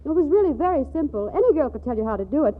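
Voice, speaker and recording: An actress playing a glib, breezy young runaway wife in a 1940s radio comedy, evasive and feigning innocence. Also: female voice, sits high, sing-song, rapid-fire, phone-quality sound. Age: young adult. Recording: thin, band-limited 1940s radio broadcast.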